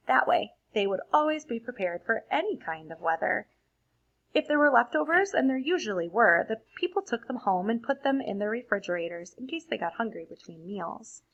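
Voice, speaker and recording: A woman reading a picture book aloud to children in an expressive storytelling manner, adding simple, casual explanations. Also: mid-range voice, vocal fry, husky, dull audio. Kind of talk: read-aloud picture book narration with casual asides to young listeners.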